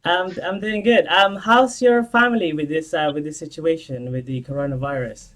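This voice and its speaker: A man hosting an interview in a conversational style, asking questions in a halting way. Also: fairly high pitch, fairly bright tone. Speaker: interview host.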